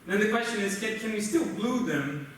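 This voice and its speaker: Man, Brazilian accent, Portuguese native, slightly high voice, halting technical lecture, distant mic, faint noise, conference speaker. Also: expressive. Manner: halting, explanatory, often checks with "right?". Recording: roomy, noisy conference hall, distant mic.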